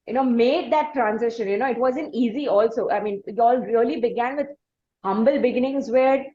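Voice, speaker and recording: A woman with an Indian accent hosting a conversational interview, asking long, halting questions. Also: on the high side, dark tone, lo-fi sound. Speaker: interviewer and podcast host.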